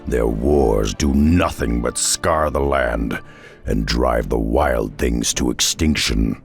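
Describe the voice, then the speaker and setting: Super deep growly half-ogre loner, slow and brooding, game cutscene w music. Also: male voice, heavily acted. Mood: weary, bitter. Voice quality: gravelly. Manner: brooding, solemn, slow-spoken. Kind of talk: video game cutscene acting. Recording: music behind the voice.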